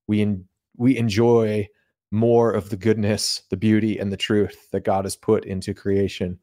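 A man's voice: lowish voice, darkish tone. A man talking casually and explaining ideas as a podcast guest, colloquial and given to voicing imagined remarks. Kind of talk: casual theological conversation.

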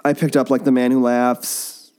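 A man with a mid-pitched voice hosts a relaxed comic book discussion. He talks in a joking, conversational way, interrupting himself and starting again as he goes. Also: normal speed, smooth. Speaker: podcast host. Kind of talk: casual chat about comic books. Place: basement.

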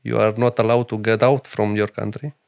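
A man's voice: on the low side, level tone, gravelly, darkish tone. Earnest Romanian man in broken English. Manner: broken English, earnest and emphatic, many pauses. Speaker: restaurant owner and chef. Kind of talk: podcast interview.

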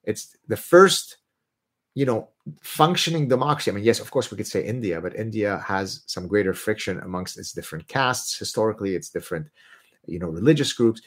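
A man's voice: fairly low pitch, a little fast, husky. A middle-aged man from Lebanon whose first language is Arabic, hosting a casual livestream and talking bluntly and emphatically. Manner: animated, blunt, emphatic, mocking voices when ranting. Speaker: livestream host answering viewer questions.

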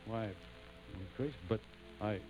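An actor in an old radio drama playing a humble young backwoods farmer turned soldier, with a halting, folksy rural Tennessee drawl. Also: male voice, on the low side, darkish tone, soft background music, poor audio. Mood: bashful, hesitant. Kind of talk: 1940s radio drama acting.

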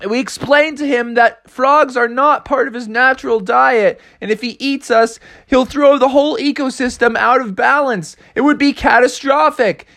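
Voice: sits high, fairly bright tone, no echo, pitched above the performer's own voice. A storyteller voicing a plucky, bossy young male frog with an emphatic surfer-dude manner. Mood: reassuring. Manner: emphatic, cocky surfer slang.

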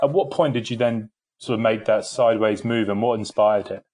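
A man's voice: on the low side, a little fast, a little mellow. A man hosting a football analysis podcast, introducing his guest and asking loosely phrased, polite questions with some fillers. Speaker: podcast host. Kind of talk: podcast interviewing.

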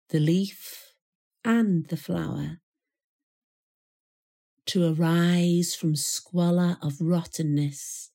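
A woman reciting a poem in a measured, solemn manner with deliberate pauses. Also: on the low side, warm tone, slightly rough. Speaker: poetry reader. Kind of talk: poetry recital.

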